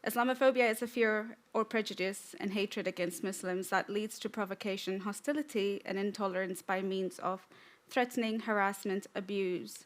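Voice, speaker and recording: A young woman from Tanzania giving a conference talk on stage, relaxed and joking at times. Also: medium pitch, normal speed. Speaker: conference speaker. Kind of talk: prepared talk with light humor.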